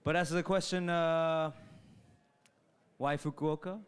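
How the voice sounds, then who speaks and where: A man's voice: on the high side, steady tone, a little slow, clean tone. A man speaking on a live panel at a hotel event stage, composed with formal phrasing. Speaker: city program director, panel speaker.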